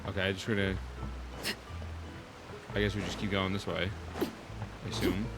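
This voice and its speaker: A man's voice: low-pitched, little pitch movement, normal speed, creaky, low-quality recording. A young man giving casual, slangy reactions as he streams a game, muttering asides. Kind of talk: casual gameplay commentary. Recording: game music under the voice. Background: video game music.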